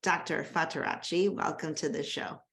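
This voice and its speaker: A woman with a slightly low voice hosts a podcast. She is a dentist and yoga teacher. She introduces her guest in a friendly way and laughs easily. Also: fairly even intonation, normal speed, vocal fry, a bit bright. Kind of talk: podcast host introduction and interview.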